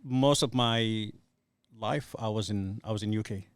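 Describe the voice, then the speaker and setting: A man's voice: fairly low pitch, unhurried, smooth, no echo. A middle-aged man from Somalia, a podcast guest telling his life story in a hesitant, earnest way with many fillers. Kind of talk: casual podcast interview.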